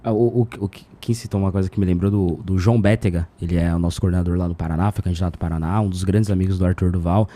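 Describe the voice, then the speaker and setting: Very dark-voiced, fast-talking Brazilian man. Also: sits low, fairly even intonation. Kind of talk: casual political discussion.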